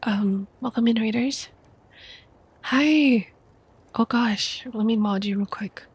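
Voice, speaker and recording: A young woman with a stuffy nose from a cold, reading a book aloud softly as an ASMR streamer into a close microphone. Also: a little high, husky. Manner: soft, quiet, unhurried reading voice. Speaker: VTuber streamer.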